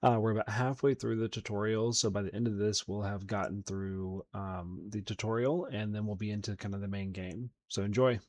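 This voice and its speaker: A man's voice: fairly low pitch, fairly even intonation, a little fast, few pauses, darkish tone, a little hiss or hum. A man hosting a gaming channel, giving casual commentary as he plays. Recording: faint game music behind the voice.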